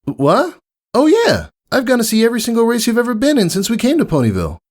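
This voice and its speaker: Man voicing an eager high-pitched young filly pegasus. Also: huge pitch swings, quick pace, darkish tone, full character voice. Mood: eager, awed. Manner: earnest, youthful, hesitant.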